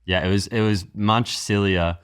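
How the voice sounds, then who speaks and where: A man's voice: fairly low pitch, fairly even intonation, moderate pace, smooth. A man talking casually as a podcast guest and writer, informal and thinking aloud as he explains. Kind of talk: casual interview chat.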